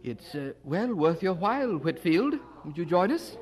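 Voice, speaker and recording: An actor playing a snobbish, thrill-seeking young Oxford gentleman in a radio drama, haughty and jaunty. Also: sits high, big pitch swings, normal speed. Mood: sly, teasing.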